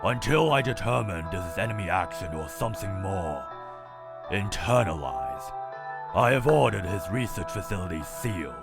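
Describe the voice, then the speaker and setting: A commanding male robot leader, voiced by a man issues orders in a low, curt, authoritative voice. Loud music plays behind him. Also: animated, moderate pace, vocal fry. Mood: stern. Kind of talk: comic dub acting.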